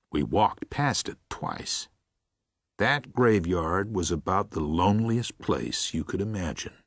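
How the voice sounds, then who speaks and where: An elderly preacher in a radio drama, very low and husky. He is gentle and reflective, with a wry touch and a slow, measured delivery that still rises and falls expressively. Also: dry recording, clean studio sound, heavily acted. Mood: reflective, wistful. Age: older. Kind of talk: radio drama, intimate first-person reminiscence.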